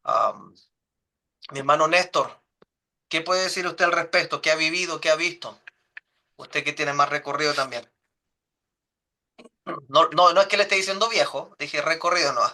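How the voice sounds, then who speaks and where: A man's voice: fairly high pitch, normal speed, pauses often, bright, forceful tone. A man, a pastor teaching a church class in an earnest, pastoral way, speaking directly to the group.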